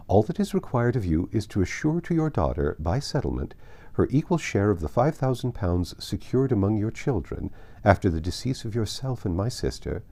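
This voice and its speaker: A man reads as a businesslike middle-aged uncle setting out news in a formal letter. The tone is mellow and reassuring, with pitch that rises and falls freely. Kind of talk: audiobook letter reading.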